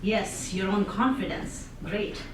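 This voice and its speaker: Woman, Indian accent, lively audience speech, echoey room, mic far off, background noise. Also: medium pitch, takes it slowly. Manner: engaging, asks the audience questions, laughs often. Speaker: public speaker.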